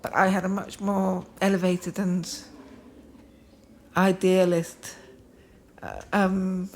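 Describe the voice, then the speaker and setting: A woman speaking reflectively and haltingly in a documentary, with deliberate pauses. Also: lowish voice, flat delivery, a little hiss or hum. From England. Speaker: deaf poet. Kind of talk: reflective spoken documentary, with read poetic lists.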